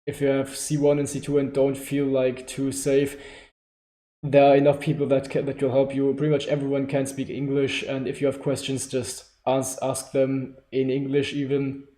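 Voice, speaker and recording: Young German-accented man, mellow voice, chatty and self-interrupting livestream host, echoey room. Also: monotone, moderate pace. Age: 20s. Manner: rambling, self-correcting, laughing often. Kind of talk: casual live Q&A. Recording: echoey room with low-quality stream audio.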